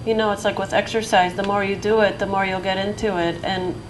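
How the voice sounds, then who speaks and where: A woman on an art school panel, talking hesitantly with many pauses and restarts. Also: mid-range voice, level tone. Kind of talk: panel discussion. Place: lecture hall. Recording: noisy room recording.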